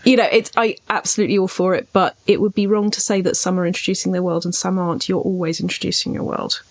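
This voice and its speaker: A woman's voice: lowish voice, fairly even intonation, fast. A woman hosting a casual podcast discussion, making her points emphatically and jumping in readily. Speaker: podcast host.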